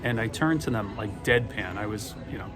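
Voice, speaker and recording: A man's voice: lowish voice, lively intonation, normal speed. A middle-aged man from Boston with a Boston accent, telling stories in a chatty, rambling way with dry humor. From Boston, USA. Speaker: vlogger. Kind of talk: casual storytelling monologue. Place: airport terminal with gate announcements. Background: music and airport PA announcements.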